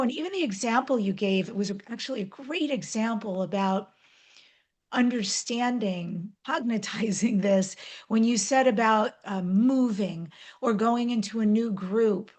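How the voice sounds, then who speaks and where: A woman hosting an interview in a conversational, personal way, leaning on words with expressive emphasis. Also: medium pitch. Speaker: interview host.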